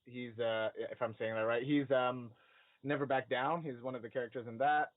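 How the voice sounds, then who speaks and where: A man of average pitch chats casually as a panelist. His audio is very dry and narrow, as if on a phone. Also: fairly even intonation, normal speed, no echo. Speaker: podcast panelist. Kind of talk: casual chat, film discussion.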